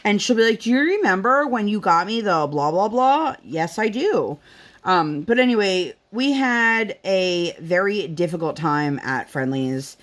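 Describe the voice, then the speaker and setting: A woman's voice: medium pitch, expressive, unhurried. A woman, a mother and vlogger, telling rambling family stories in a casual chat at home, full of fillers and acted-out quotes. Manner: chatty, rambling, lots of fillers, acts out quotes. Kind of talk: casual storytelling chat while crafting.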